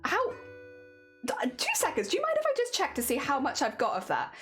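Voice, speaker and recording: A woman's voice: high-pitched, huge pitch swings, fairly quick, fairly bright tone, faint music behind. A woman livestreaming a crafting session, chatting casually with her viewers; she sounds a little wheezy and rambles, laughing often. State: wheezy, voice strained. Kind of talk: casual chat to live chat. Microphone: headset.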